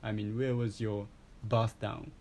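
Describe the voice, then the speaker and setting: A man's voice: on the low side, level tone, a little slow, a little mellow. A man with a Japanese accent hosting a casual chat, often restarting his phrases. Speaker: host.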